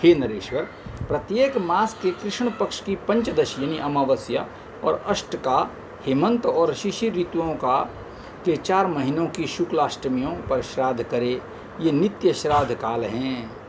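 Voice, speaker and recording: A man reading a holy text aloud in measured recitation, now and then stumbling and adding chatty asides. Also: on the high side, expressive. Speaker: scripture reader. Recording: street noise behind the voice.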